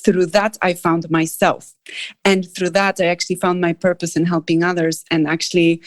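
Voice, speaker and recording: Polish-accented woman, lowish voice, dry sound, frank and emphatic, coach sharing story. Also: level tone, normal speed, no echo. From Poland. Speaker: coach and trainer. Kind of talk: personal story in audio chat.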